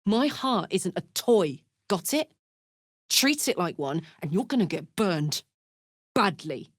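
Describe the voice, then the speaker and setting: An actress playing a brash, warm-hearted, battle-hardened tiefling barbarian woman in a video game, blunt, cheeky and earnest. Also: lowish voice, big pitch swings, slow pace, dry recording, studio quality, exaggerated voice. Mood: blunt, tough. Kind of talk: scripted game character voice lines.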